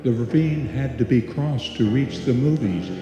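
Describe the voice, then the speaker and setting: A man performing as the narrator of a live old-time radio suspense play, deliberate and atmospheric. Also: mid-range voice, highs cut off, close to an everyday voice. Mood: ominous. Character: storyteller narrator of a suspense tale, measured, ominous. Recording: echoey hall with music behind.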